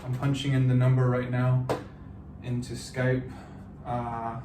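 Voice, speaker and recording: A man in his thirties from the United States, talking through a personal vlog in a halting, reflective way with many fillers and restarts. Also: medium pitch, monotone, music in the background. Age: 30s. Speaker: vlogger.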